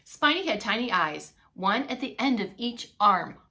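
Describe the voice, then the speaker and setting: A woman reading a story aloud to young children as a preschool teacher, expressive, with playful asides. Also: on the high side, a little slow. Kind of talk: animated read-along children's storytelling.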